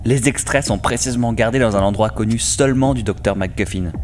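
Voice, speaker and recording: A man's voice: lowish voice, brisk, a little hiss or hum, lightly acted. A man playing a gullible, pompous office worker in a comic audio drama, speaking in a theatrical, easily thrilled way. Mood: brisk, matter-of-fact.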